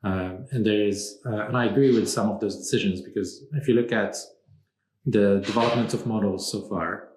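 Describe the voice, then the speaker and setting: A man originally from Morocco, speaking as a podcast guest in a measured, thoughtful way with frequent pauses. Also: lowish voice, darkish tone, roomy sound, slight background noise, rough recording. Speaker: podcast guest, strategy consultant. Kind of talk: conversational interview.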